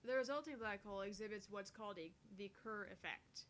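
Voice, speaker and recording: A woman's voice: brisk, fairly bright tone, natural voice. A woman voicing an earnest, easily flustered young female scientist from a visual novel, explaining with the odd stammer. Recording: faint noise with low rumble.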